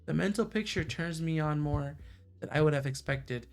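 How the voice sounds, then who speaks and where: Guy streaming a visual novel read-aloud, bit high pitched, lots of fry, some music under it. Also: moderate pace. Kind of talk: livestream narration of a visual novel. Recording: music behind the voice. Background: background music.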